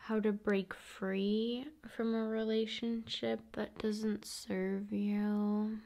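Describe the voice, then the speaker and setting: A woman speaking softly and often in a whisper, in an intimate, soothing ASMR style addressed to the viewer. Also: medium pitch, level tone, drawn-out words, vocal fry. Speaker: ASMR video host.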